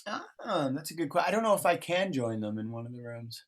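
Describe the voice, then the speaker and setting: A man's voice: a little high, sing-song, a little fast. A man co-hosting a session, chatting casually and thinking aloud in loose, easygoing phrases. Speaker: workshop co-host and facilitator. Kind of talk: casual chat, planning. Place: online video call.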